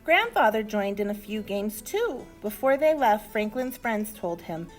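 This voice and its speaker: A woman reads a children's storybook in an expressive, deliberate storytelling manner. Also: mid-range voice, soft background music. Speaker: storybook reader. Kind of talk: animated children's story narration.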